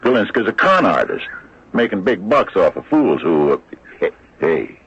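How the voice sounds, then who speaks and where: An American man playing a gruff, weary, skeptical small-town sheriff, halting and sardonic, in a radio mystery drama. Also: big pitch swings, a bit bright, no echo, full character voice. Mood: scornful, disbelieving. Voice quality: gravelly, husky, very deep. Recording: dull lo-fi old radio recording.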